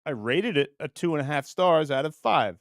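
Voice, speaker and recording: Higher-voiced middle-aged man rambling on a livestream. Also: animated, normal speed, no room sound, clean studio sound. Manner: crude, blunt, jokey. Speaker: livestream show host. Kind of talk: casual, rambling livestream chat.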